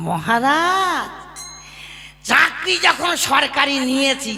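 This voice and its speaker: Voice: high voice, animated, slow pace, creaky, heavily acted. A performer voicing a sly, greedy male government clerk in a comic puppet-show cartoon, boastful and cunning. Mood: scheming. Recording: music bed under the voice. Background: music.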